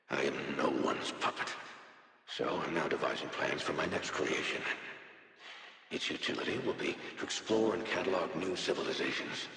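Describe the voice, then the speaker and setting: An actor playing a vain, bitter alien inventor, musing with haughty, deliberate disdain in a video game audio log. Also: male voice, deep voice, sharp tone. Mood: cold disdain. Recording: very echoey hall with long reverb.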